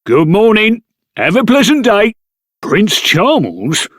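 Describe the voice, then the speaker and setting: A man's voice: sits high, huge pitch swings, slow pace, fairly bright tone, slight background noise, exaggerated voice. A man playing a polite, chatty royal guard in a video game. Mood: courteous.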